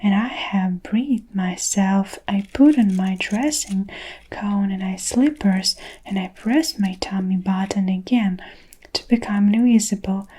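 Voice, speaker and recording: Woman narrator, slow husky whisper, storybook reading. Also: mid-range voice, poor audio. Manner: soft unvoiced whisper throughout.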